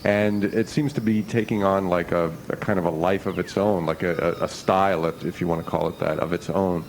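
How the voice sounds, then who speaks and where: A man's voice: low voice, steady tone, a little fast. A man interviewing on the radio in a casual style, working his way tentatively through wordy, circling questions. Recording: noisy radio aircheck recording.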